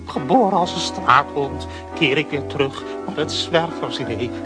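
A man's voice: on the high side, normal speed, over music, natural voice. A man voicing a melancholy male dog who is a stowaway sleuth, speaking in a theatrical, self-pitying inner monologue in a children's radio play.

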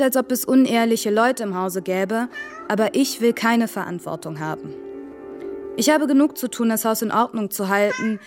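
A woman's voice: mid-range voice, a little mellow, natural voice. An actress playing an earnest, orderly young woman in a radio drama, speaking politely, formally and talkatively. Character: young woman, orderly, earnest, running her family's household. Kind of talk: literary radio drama acting.

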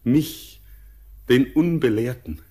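An actor playing an entranced, doomed young bridegroom in a classic German radio drama, declaiming solemn poetry in a visionary manner. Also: male voice, mid-range voice, slow, mellow. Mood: exalted, entranced.

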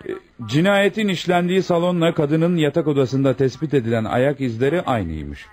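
An actor playing a deferential police subordinate in a radio play, reporting formally and obediently to a superior. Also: male voice, a little high, lively intonation, brisk, a little mellow, natural voice. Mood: dutiful, reporting. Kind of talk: radio theatre acting.

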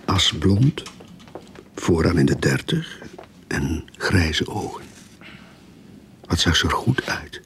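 Rookie detective, wry and tired, creaky low voice, radio drama, noisy backdrop. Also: male voice, sing-song, slow pace, pauses often, a bit bright, heavily acted. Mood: musing. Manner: wry, world-weary. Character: weary young male novice private detective narrating his case. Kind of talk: radio drama narration and dialogue. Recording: radio play with clear background noise.

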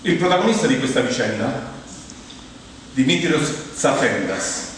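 A man delivering a theatrical comic storytelling monologue, deliberate, with repetitive build-ups and dramatic pauses. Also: medium pitch, expressive. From Rome, Italy. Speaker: stage monologuist. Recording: distant, very echoey hall, faint noise.

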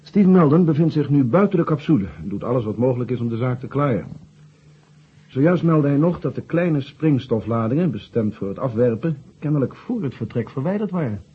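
An actor playing a formal, cautious colleague giving mission reports in a 1970 radio drama. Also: male voice, medium pitch, lively intonation, a little fast, natural voice. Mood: concerned, measured. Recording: muffled, phone-like, hissy old radio recording.

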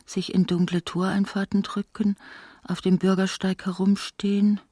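Actress as woman narrating a literary radio drama, measured, not much melody, close dry sound. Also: medium pitch, flat delivery, warm tone, dry recording, natural voice. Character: female storyteller of a wry literary radio play.

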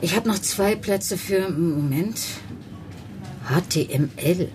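An actress playing a sceptical, weary job-centre clerk in a radio drama, curt, dry and condescending. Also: female voice, lowish voice, slow, background noise. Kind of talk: German radio drama dialogue.

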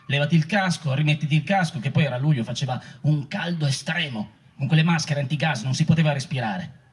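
A former protester speaks in a fairly high, husky voice. He gives testimony as a staged reading, recalling turbulent days. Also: quick pace. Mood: grave, remembering. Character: male former protester recalling arrest and chaos. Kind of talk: dramatic reading of oral history testimony.

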